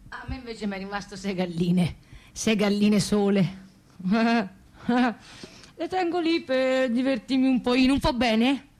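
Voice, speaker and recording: A woman's voice: on the high side, a little slow, a little mellow, close to an everyday voice. A woman playing a simple, cheerful Tuscan country woman in a stage drama, rambling through her small chores in a sing-song way. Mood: contented.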